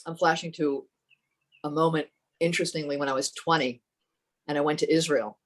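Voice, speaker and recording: A woman with a fairly low voice telling her personal story as a podcast guest, thoughtful and earnest, often restarting her sentences. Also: steady tone. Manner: thoughtful, halting, with many restarts.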